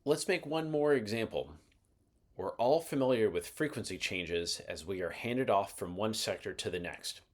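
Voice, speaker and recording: A man's voice: fairly low pitch, expressive, moderate pace. A man giving scripted instructional narration as the host of an explainer video, in a methodical, explanatory manner. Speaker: host of an aviation explainer channel.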